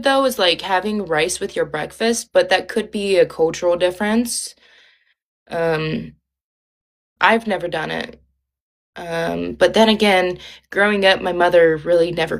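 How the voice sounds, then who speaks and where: A young woman with a Midwestern Ohio accent, chatting casually and rambling as she hosts a livestream, with lots of ums and likes. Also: medium pitch. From Ohio, USA. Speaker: livestream host.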